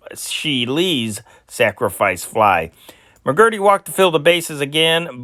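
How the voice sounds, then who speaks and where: A man's voice: on the high side, fairly bright tone, no echo, studio quality. A man who is an amateur reader, reading old newspaper sports stories aloud in an unpolished way, with restarts and filler sounds. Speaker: hobbyist newsreader.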